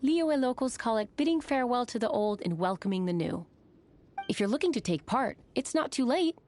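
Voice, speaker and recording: A woman's voice: a little high, lively intonation, fairly quick, a little mellow, slight background noise, close to an everyday voice. A woman voicing a polite, friendly innkeeper in a video game, explaining things courteously. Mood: welcoming. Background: music.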